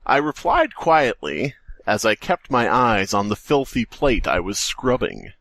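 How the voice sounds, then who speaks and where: A man with a bright, forceful voice jokes and reads aloud as a podcast co-host. His pitch moves around a lot, and the close, dry sound keeps the casual banter upfront. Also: unhurried, dry recording. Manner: animated, joking. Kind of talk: casual comedic chat and story reading.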